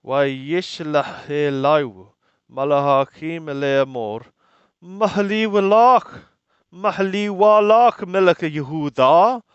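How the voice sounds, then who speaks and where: A man reading scripture aloud and translating it phrase by phrase in a deliberate, halting manner. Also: a little high, clean tone, dry recording, highs cut off. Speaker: Bible reader and translator.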